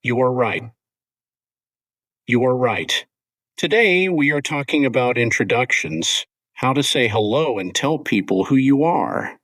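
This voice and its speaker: A man co-hosting an English-learning podcast, speaking in clear, simple scripted sentences. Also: mid-range voice, animated, a little slow, fairly bright tone, no echo. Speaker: podcast co-host.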